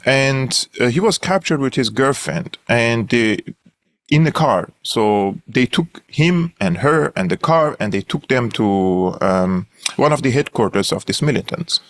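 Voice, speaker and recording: Earnest Syrian Armenian man, halting speech. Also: mid-range voice, expressive, a bit bright. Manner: earnest, many fillers and restarts. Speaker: guest analyst. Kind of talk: interview storytelling.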